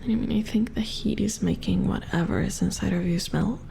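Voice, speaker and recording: A woman playing a gentle, squeamish robot repair engineer in a hushed, hesitant ASMR roleplay, murmuring to herself. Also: medium pitch, brisk, creaky, noisy background. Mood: puzzled, squeamish. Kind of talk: soft-spoken whispered ASMR roleplay.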